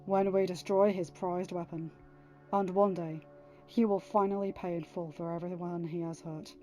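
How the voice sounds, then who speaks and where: A woman reads the part of a heroic warrior husband in an audiobook. The tone is mellow and calm, with tender reassurance and earnest resolve. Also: fairly low pitch, normal speed, faint music behind, lightly acted. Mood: tender, resolute. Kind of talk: dramatised audiobook reading.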